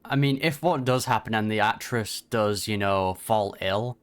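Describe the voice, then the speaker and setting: A man's voice: on the low side, measured pace, soft background music. A man giving casual, laid-back reaction commentary on a video game as host.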